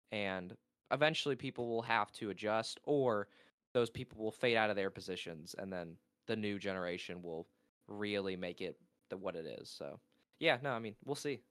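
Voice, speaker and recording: A young man from Georgia, a college esports player and caster, talking in a casual interview with a naturally loud voice, rambling excitedly and losing his thread. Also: lowish voice, a little fast, smooth, dry recording. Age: young adult.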